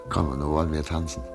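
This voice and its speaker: A very deep, gravelly German doctor in exile. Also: male voice, heavily acted. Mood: eager. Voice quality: creaky. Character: German émigré doctor, hopeful, eager, planning ahead. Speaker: Albrecht, a doctor. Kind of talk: radio play acting. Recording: music playing behind. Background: dance music.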